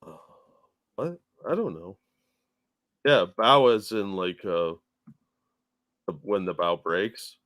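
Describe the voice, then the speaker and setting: Co-host, male, lowish voice, relaxed, swears casually, reads chat aloud. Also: slow, long pauses. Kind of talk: casual political commentary chat.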